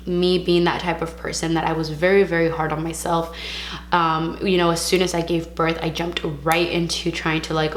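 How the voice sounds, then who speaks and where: A young woman from California vlogging casually to camera, frank and chatty, mixing in Spanish words, her voice a little raspy from a sore throat. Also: low-pitched, echoey room, a little hiss or hum. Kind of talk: casual vlog talk to camera.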